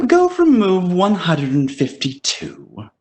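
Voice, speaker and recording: A man playing a commanding, gloating villain in an audio drama, speaking deliberately with smug menace. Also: high-pitched, huge pitch swings, a little mellow, full character voice. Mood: commanding, menacing.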